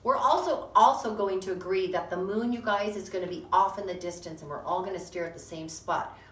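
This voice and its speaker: A woman's voice: mid-range voice, fairly quick. A woman teacher explaining reader's theatre to a class and then narrating the story with lots of expression. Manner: expressive, animated, with hushed emphasis. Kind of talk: classroom instruction and storybook reading. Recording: echoey classroom, far mic, clear background noise.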